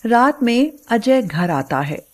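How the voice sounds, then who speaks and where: A woman's voice: fairly high pitch, very animated, slow pace. A woman narrator reading a scripted dramatic family story.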